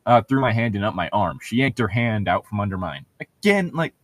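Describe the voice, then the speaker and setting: A man with a fairly low voice, recorded close and dry, reads aloud in a casual way. He is sarcastic and swears freely when he breaks off to comment. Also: sing-song, fairly quick, no echo. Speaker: co-host of a comedic read-along.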